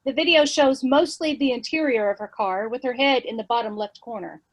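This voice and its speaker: A woman reading stage directions in a measured, even way for a virtual play reading. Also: a little high.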